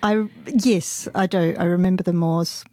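A middle-aged woman, born in England and raised in New Zealand, answers interview questions. She speaks softly and pauses often with fillers. Her voice is mellow and the sound is dry and close. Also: fairly low pitch, fairly even intonation, dry recording. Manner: hesitant, soft-spoken, laughs often. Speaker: guest.

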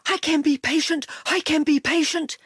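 A woman voicing an excitable, impatient male pet dog in a children's storybook, eager and exclamatory. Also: high voice, moderate pace, no room sound, clean studio sound, pitched above the performer's own voice, natural voice. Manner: eager, exclamatory, repeats words.

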